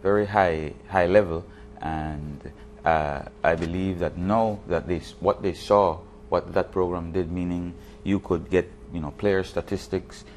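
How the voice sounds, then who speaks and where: A man's voice: sits low, fairly even intonation, a little slow, few pauses, darkish tone, a little hiss or hum. A man, explaining things as a guest in a studio interview and repeating words as he goes. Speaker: basketball league official, interview guest. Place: TV studio set, indoors. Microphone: lapel.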